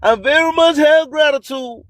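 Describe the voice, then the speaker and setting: A man hosting radio with motivational affirmations and announcements, deliberate and repetitive like a chant. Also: very high voice, fairly bright tone, no room sound, studio quality. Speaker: radio host.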